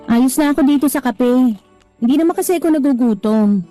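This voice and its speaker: A woman's voice: sits high, lively intonation, fairly quick, mellow, soft background music. A woman playing a tired, irritable young woman breaking up with her boyfriend in a radio drama. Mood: irritated.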